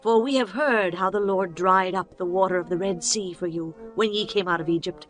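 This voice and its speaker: A woman's voice: lowish voice, normal speed, faint music behind, lightly acted. A woman voicing an earnest, clever innkeeper woman pleading in a dramatized scripture reading.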